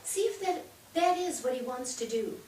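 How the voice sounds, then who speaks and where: Strong-minded immigrant mother, played by a woman, lively swooping delivery, stage reading caught from far off with faint hiss. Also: sits high, normal speed. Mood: persuasive. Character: practical, firm-willed immigrant wife and mother of many. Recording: echoey stage, distant mic, background hiss.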